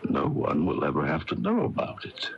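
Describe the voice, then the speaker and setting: An actor playing a soft-spoken, menacing criminal in a radio mystery drama, speaking in a deliberate, formal manner. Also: male voice, a bass voice, wildly swooping pitch, heavily acted. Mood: quietly menacing. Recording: muffled, noisy old radio broadcast tape.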